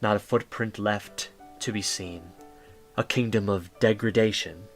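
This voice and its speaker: Low-voiced male storytelling narrator. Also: fairly even intonation, measured pace. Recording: faint music bed.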